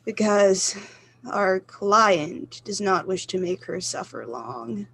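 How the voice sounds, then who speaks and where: A woman's voice: mid-range voice, takes it slowly, vocal fry, fairly bright tone, breathy edge, full character voice. A woman playing a cold-blooded male hired killer in a suspense radio play, matter-of-fact and reassuring. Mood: cold, businesslike.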